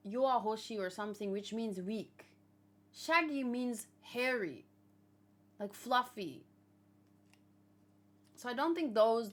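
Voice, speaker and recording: A woman hosting a read-along, chatting casually with viewers and thinking aloud, with hesitant pauses and musing hums. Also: medium pitch. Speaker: host of a manga read-along reaction show.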